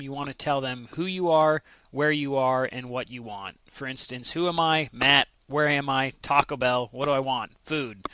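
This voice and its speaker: A man's voice: medium pitch, sharp tone, dry recording. An American man narrating an instructional lesson in a conversational, explanatory way, carefully spelling out example radio calls. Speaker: flight simulation instructor.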